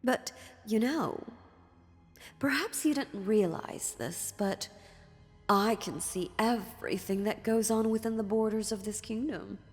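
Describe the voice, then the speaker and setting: Casting: actress for a dominant demon woman, fantasy ASMR roleplay. Very slow, mocking, condescending menace, long reverb. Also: medium pitch, close to an everyday voice. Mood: smug. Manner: slow, condescending, sardonic, theatrical. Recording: long reverberant hall, music underneath.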